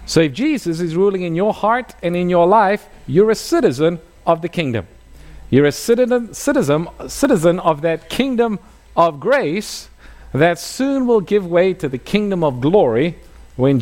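A man's voice: on the high side, darkish tone. A middle-aged man from South Africa, a pastor preaching a sermon and telling a personal story, asking the audience questions.

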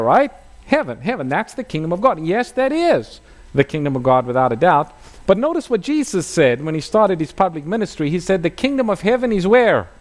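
Middle-aged south african-born pastor preaching, bit high voice, swoops up and down a lot. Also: male voice. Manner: storytelling preacher, asks the audience questions. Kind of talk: sermon.

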